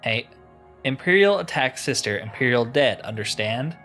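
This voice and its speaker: Orc bodyguard, performed by a man, blunt and clipped, fantasy game, low-level music behind. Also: animated, normal speed, sharp tone. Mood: blunt, threatening.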